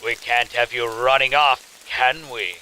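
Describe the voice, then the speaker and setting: A man's voice: mid-range voice, fairly even intonation, measured pace, pushed and bright, a little hiss or hum, poor audio. A man playing a sinister, theatrical festival host in an audio drama, taunting with mock politeness and gleeful menace. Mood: quietly menacing.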